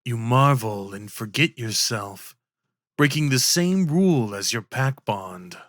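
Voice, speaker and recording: A man narrating a scripted ASMR roleplay story with measured, deliberate delivery. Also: mid-range voice, expressive, a bit bright, no echo, studio quality.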